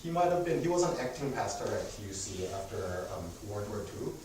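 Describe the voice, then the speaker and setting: A man's voice: on the low side, big pitch swings, brisk, darkish tone. A man leading an adult church history class, speaking casually with many fillers and self-corrections; his first language is not English. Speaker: adult education class leader. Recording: echoey room from far off, noisy.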